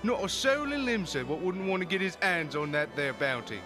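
High-voiced, mocking tavern keeper. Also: male voice, animated, normal speed, over music. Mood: sly, confiding. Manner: folksy, mocking pirate-style patter. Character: jovial, leering tavern keeper in a port town. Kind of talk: fantasy game fan dub, theatrical.

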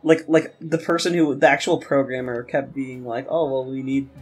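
A young man chatting casually and rambling, often halting and repeating his words. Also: medium pitch, steady tone, husky. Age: young adult. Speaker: podcast guest and game maker. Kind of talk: casual chat. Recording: music playing under the talk. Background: guitar music.

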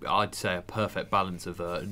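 A man's voice: sits low, level tone, brisk, bright, forceful tone. A young man in a casual group chat as a cast member, tentative and agreeable in manner. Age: young adult.

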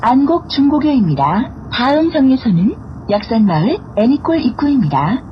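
A woman reading scripted transit stop announcements in a formal, clearly enunciated way. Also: sits high, sing-song, moderate pace, highs cut off. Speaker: bus announcer. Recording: clear vehicle noise throughout. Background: bus ambience and vehicle noise.

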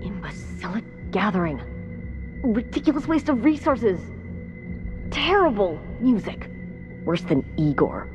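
Snooty impatient scientist lady for a video game, clipped n scornful, loud music behind. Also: mid-range voice, sing-song, a little slow, long pauses. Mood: contemptuous. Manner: clipped, scornful, precise, swearing. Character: haughty, impatient, contemptuous female scientist. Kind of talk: video game dialogue acting. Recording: slightly dull game audio under music.

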